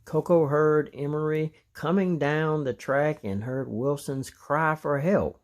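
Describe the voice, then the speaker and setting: A man's voice: a little high, slow. A man reading a children's story aloud as narrator. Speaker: storybook reader.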